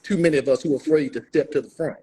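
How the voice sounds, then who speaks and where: An American man with a raspy voice, speaking as an interview guest in a halting, earnest, reflective way. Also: fairly high pitch, dark tone. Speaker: guest actor.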